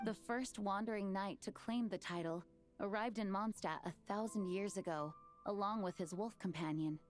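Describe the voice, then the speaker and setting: A woman plays an earnest, thoughtful female knight leader from a video game. She speaks in a clear, formal way at an ordinary pitch, with faint game music behind her. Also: normal speed, close to an everyday voice. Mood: narrating. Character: dutiful female acting grandmaster, earnest and thoughtful. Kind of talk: game voice acting.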